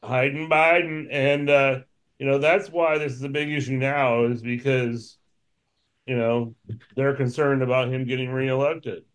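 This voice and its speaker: Male co-host, steady and matter-of-fact, mid-pitched voice. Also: unhurried, clear, resonant tone. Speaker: podcast co-host. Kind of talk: casual political chat.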